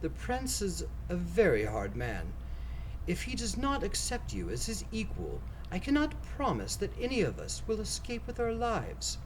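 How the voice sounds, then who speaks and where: Male reader playing courteous storybook counselor, raised pitch, formal, wary, fearful, background noise. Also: huge pitch swings, normal speed, poor audio. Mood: grave, fearful. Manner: formal, cautionary. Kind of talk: storybook character voice in read-aloud.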